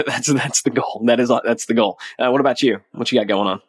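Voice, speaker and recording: A man's voice: medium pitch, brisk, husky, no echo. A man co-hosting a business podcast, joking and easygoing, sounding nasal from a sinus infection. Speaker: podcast co-host. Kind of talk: casual business podcast chat.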